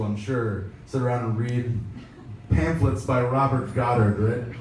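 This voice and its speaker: A man gives a live reading of an interview in a casual, wry way, at a middling pitch. The sound is strongly echoey and a little distant, with faint noise behind him. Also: darkish tone. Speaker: editor giving a reading. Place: indoor live pop-up event at a venue. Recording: echoey, noisy room, distant mic.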